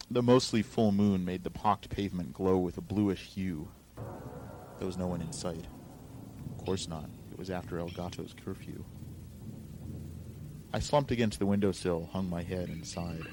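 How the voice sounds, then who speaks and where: Very deep-voiced man narrating, noisy recording. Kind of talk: first-person fiction reading, scripted narration.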